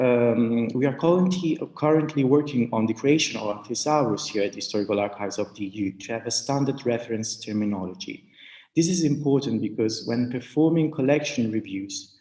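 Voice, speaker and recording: A man presents formally at an academic conference, reading carefully worded prepared remarks. His voice is average in pitch. The recording is roomy, with clear echo around his words. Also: darkish tone.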